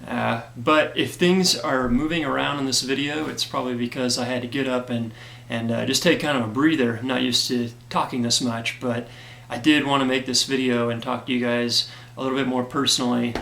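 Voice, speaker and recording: A man who created a comic gives an earnest, grateful update to his backers, hoarse from a cold and filling his talk with "uh". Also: mid-range voice, steady tone, brisk, a bit bright, echoey room. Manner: earnest and grateful, many fillers. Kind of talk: casual direct-to-camera talk.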